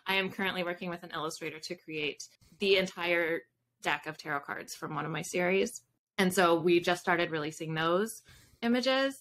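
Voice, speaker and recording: A woman's voice: on the low side, normal speed, fairly bright tone. A woman in her thirties speaking informally as an interview guest, thinking aloud as she answers on a video call. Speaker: guest, fiction author. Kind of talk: casual interview chat. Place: home room with bookshelves, on a video call.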